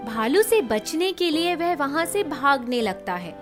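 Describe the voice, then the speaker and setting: High-pitched woman narrating over music. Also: big pitch swings, measured pace. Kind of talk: scripted children's fairy-tale narration.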